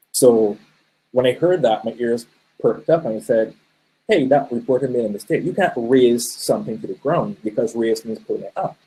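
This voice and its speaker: Mellow-voiced Barbadian man presenting a webinar. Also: mid-range voice, poor audio. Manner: conversational, storytelling with many fillers. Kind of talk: live online presentation with chat questions.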